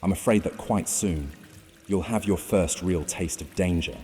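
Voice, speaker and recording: Man as a deep, mellow, grave vampire consul. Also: measured pace. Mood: grave warning. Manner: grave, dry, warning. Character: stern, dutiful, worried male vampire consul. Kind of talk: immersive fantasy audio drama roleplay. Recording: long reverb, music bed, water sounds.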